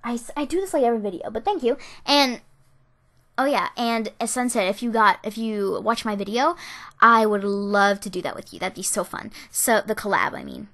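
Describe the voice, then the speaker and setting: A woman talks casually, as an amateur voice actor addressing her viewers. Her voice has a bright, forward tone. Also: fairly high pitch, lively intonation, normal speed. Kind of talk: casual chat.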